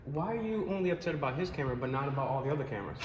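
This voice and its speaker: A man's voice: medium pitch, expressive, rapid-fire. A man backing up a camera-wielding auditor who lectures an office staffer about taxes and surveillance. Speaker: fellow auditor. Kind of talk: argumentative questioning on camera. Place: indoor government office. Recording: echoey office with background noise.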